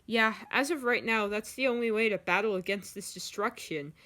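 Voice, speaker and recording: A woman voicing a steady, protective male anime warrior who explains things earnestly. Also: mid-range voice, moderate pace, close to an everyday voice. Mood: reassuring.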